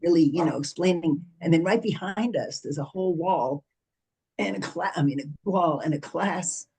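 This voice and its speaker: A man's voice: fairly high pitch, moderate pace, darkish tone, breathy edge. A man on an arts panel telling a rambling anecdote, full of "so" and "you know". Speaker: panelist, arts organization president.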